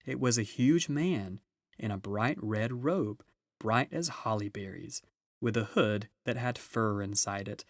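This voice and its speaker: A man's voice: on the low side, no echo, clean studio sound. A man narrating a children's storybook aloud as an audiobook reader.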